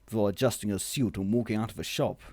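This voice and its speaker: Mellow deadpan man narrating. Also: lowish voice, quick pace, no echo, clean studio sound. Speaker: story narrator. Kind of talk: scripted comic story narration.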